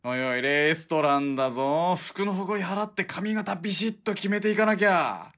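A man acting in a Japanese radio drama as a gruff, blunt fugitive soldier who is guarded and fussy about appearances. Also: sits high, moderate pace, fairly bright tone. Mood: fussy, exasperated. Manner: terse, blunt masculine speech.

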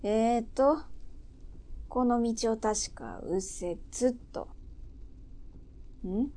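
A woman playing a brash, wry 1920s driver in a radio drama, muttering to herself in rough, tomboyish speech. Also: on the high side, expressive, drawn-out words, dark tone, exaggerated voice. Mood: irritated, cocky. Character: brash, wry driver dodging military police in 1920s Japan.

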